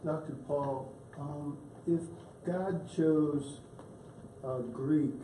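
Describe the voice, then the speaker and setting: A man's voice: fairly high pitch, fairly even intonation, very slow, mellow. A man hesitantly asking his teacher a question in class. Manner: hesitant, groping for words. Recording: roomy echo, faint hiss.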